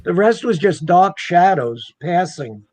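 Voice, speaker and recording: High-pitched husky man, dry sound. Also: very animated, measured pace, darkish tone, dry recording. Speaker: guest on a supernatural talk show. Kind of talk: casual anecdote chat.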